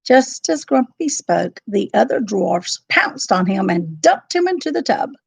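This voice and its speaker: A woman narrating a children's storybook in an expressive, dramatic and deliberate style. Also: medium pitch, vocal fry, breathy edge, no room sound.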